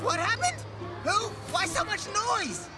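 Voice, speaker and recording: Cartoon boy dragon: squeaky-high, cheerful, exclamatory, unhurried pace, music underneath. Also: over a noisy crowd, heavily acted. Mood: bossy, urgent. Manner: excitable, exclamatory. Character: cheerful, eager young boy dragon who leads adventures. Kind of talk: children's cartoon dubbing. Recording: background music behind the voice.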